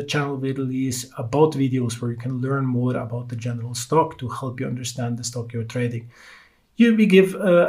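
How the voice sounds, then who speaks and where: A man from Norway with a Norwegian accent, speaking English as a second language while hosting a solo stock-market podcast in a halting way full of fillers. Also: on the high side, warm tone. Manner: halting, many uh fillers, loose non-native phrasing. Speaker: podcast host.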